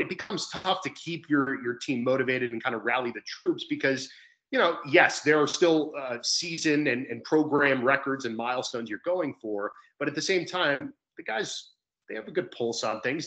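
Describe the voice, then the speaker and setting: Young male sports broadcaster, mid-pitched, chatty and explanatory, guesting over a video call. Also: animated, normal speed, a bit bright, rough recording. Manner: talkative, explanatory. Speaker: sports play-by-play commentator as guest. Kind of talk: conversational sports interview. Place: indoor room at home, video call.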